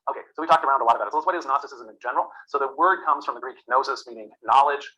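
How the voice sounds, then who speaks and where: A man lectures at a rapid clip, his voice bright and forward. He rambles, restarts and fills gaps with "uh" and "um". The recording sounds dull and lo-fi. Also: medium pitch. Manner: rambling, many fillers and restarts. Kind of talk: classroom lecture.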